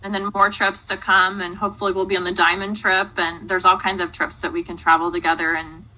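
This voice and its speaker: A woman's voice: on the low side, level tone, brisk, pushed and bright, poor audio. A woman in her late thirties hosting a casual interview, rambling in a friendly way with many likes and ums. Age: 30s. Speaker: host, interviewer.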